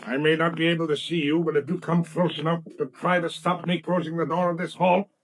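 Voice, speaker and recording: An actor playing a cold, threatening, scheming swindler, curt and commanding, in a 1930s radio drama. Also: male voice, fairly high pitch, little pitch movement, fairly quick, natural voice. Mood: menacing.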